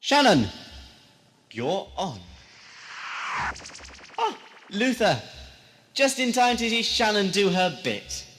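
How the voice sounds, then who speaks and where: A man's voice: high-pitched, very animated, slow, pauses often, background noise, heavily acted. A man playing a flamboyant, menacing mad lord holding court in an audio drama, theatrical and grandiose. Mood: commanding. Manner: theatrical, grandiose, swooping from cooing to shouting. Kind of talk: amateur audio drama acting.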